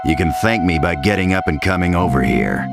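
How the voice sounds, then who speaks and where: Rock-bottom and gravelly, this is a hardboiled video game fighter. He talks bluntly and menacingly, with wide swings in pitch. Loud music plays over him. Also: animated, brisk. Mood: threatening, cool. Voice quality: deep, gravelly. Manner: blunt, tough. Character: gruff hardboiled male death-game fighter, menacing and terse. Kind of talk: video game cutscene acting.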